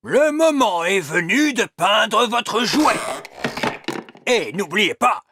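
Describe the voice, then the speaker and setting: A man's voice: sits high, lively intonation, slow pace, sharp tone, husky, no echo, clean studio sound, heavily acted. A man voicing a wise old elf teacher in a French cartoon dub, pompous and schoolmasterly, stern but patient. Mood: instructive, patient. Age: older.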